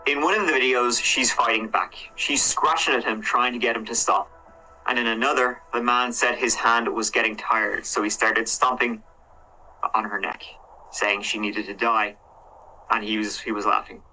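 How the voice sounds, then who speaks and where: A man's voice: mid-range voice, steady tone, normal speed, bright, forceful tone, soft background music, rough recording. A man casually retelling a true crime case in a conversational way, with hedges and fillers. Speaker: true crime reaction host.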